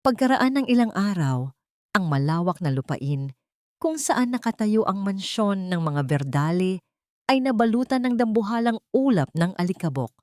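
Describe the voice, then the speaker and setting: A woman narrating a scripted dramatic story in a solemn, sentimental and measured way. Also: medium pitch, lively intonation, darkish tone, dry recording, clean studio sound.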